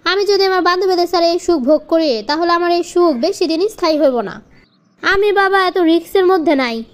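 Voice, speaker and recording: A woman's voice: very high voice, fairly quick. A woman voicing a bossy, argumentative young wife in a comic cartoon, with a Bangladeshi accent and colloquial scolding delivery. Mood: demanding. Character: bossy, demanding young wife devoted to her girlfriends.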